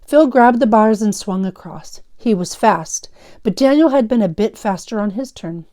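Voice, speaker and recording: A woman's voice: mid-range voice, lively intonation, moderate pace, a little mellow. A woman teaching fifth grade, explaining instructively and reading a story aloud to pupils.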